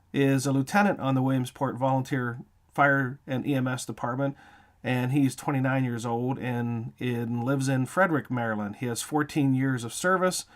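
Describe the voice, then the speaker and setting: A man hosting an interview show, reading scripted narration to introduce a feature. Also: mid-range voice.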